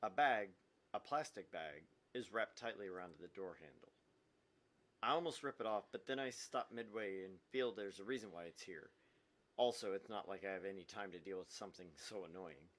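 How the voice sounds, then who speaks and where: A man's voice: deep voice, normal speed, a bit bright. A man voicing an anguished, obsessive, vindictive young man in a dramatic read-aloud of a visual novel.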